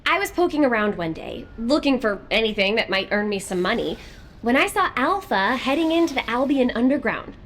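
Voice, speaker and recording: High, lively young girlish soldier. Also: brisk. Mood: conspiratorial, excited. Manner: expressive, informal, girlish. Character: young, peppy, naive female soldier, emotional and cheeky. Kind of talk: video game cutscene dialogue.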